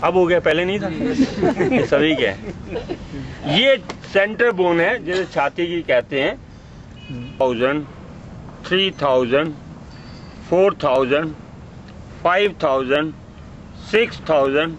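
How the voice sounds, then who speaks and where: High-voiced man teaching first aid, muffled recording. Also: takes it slowly, long pauses, fairly bright tone, slight background noise. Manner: instructive, step by step, checks understanding. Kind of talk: hands-on demonstration teaching.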